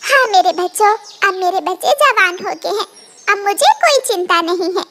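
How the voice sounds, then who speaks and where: A woman's voice: very high-pitched, animated, moderate pace, background noise. A woman voicing a meek, caring mother sparrow in a cartoon, speaking in an earnest motherly way. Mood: tender.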